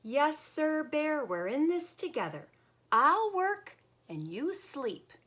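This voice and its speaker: A woman voicing a clever, chipper male hare in a children's storybook, eager and persuasive as he pitches to his neighbor. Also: sing-song, slow, a bit bright, full character voice.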